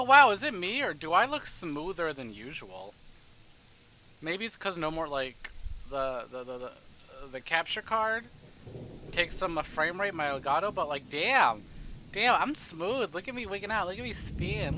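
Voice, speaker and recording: A man's voice: high-pitched, lively intonation, moderate pace, sharp tone, a little hiss or hum, poor audio. A man hosting a casual livestream, chatting to viewers in a playful, rambling, exclamatory way and often repeating himself. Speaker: livestream host, gamer.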